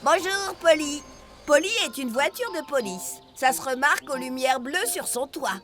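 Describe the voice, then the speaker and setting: A woman's voice: sits high, expressive, moderate pace, a bit bright, faint music behind. A woman playing a cheerful, curious presenter who teaches young children in an educational cartoon.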